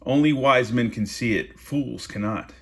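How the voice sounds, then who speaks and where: A man's voice: mid-range voice, a little slow, fairly bright tone. A man voicing a sly swindler weaver in a storybook, with boastful sales patter. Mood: persuasive.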